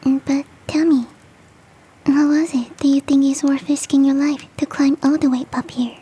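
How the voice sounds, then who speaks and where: A woman voicing a big, sweet, doting female yeti in a soft, breathy, gently coaxing whisper, as ASMR roleplay. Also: high voice, fairly even intonation, moderate pace, lightly acted. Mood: curious, affectionate. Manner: gentle, coaxing, affectionate, self-deprecating.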